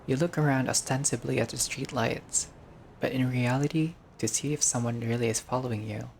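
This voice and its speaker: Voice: medium pitch, fairly even intonation, brisk, vocal fry, a little mellow, slight background noise. A gamer reading a visual novel aloud in a casual let's-play style, breaking off into joking, sweary asides.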